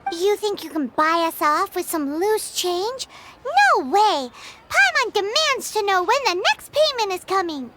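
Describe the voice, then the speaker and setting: A woman voicing a tiny, excitable, easily spooked fairy girl companion in a video game, squeaky and childlike. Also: a little slow, noisy background, exaggerated voice. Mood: indignant. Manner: speaks of self in third person, exclaiming. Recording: music behind the voice.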